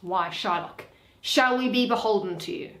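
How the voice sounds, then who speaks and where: A woman playing a proud, scornful male merchant in a Shakespeare reading, in a stern, measured, declamatory manner. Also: medium pitch, fairly bright tone, echoey room, a little hiss or hum, close to an everyday voice. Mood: curt.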